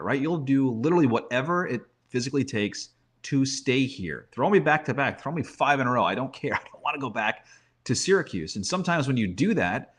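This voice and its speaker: A man's voice: medium pitch, husky. A man in a casual sports discussion as a baseball analyst, conversational and thinking aloud, often posing questions to himself. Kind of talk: casual sports talk, roster preview.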